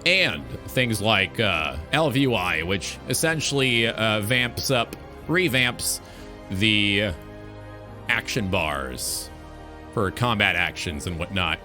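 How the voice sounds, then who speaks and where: A man's voice: on the low side, lively intonation, slow pace, pushed and bright, soft background music. A man hosting a gaming talk show, delivering an opinionated monologue in an emphatic, blunt, sweary way.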